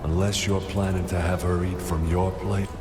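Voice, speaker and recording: A man's voice: deep voice, level tone, normal speed, close to an everyday voice. A man voicing a menacing, territorial street thug in a video game. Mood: threatening. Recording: reverberant, music underneath.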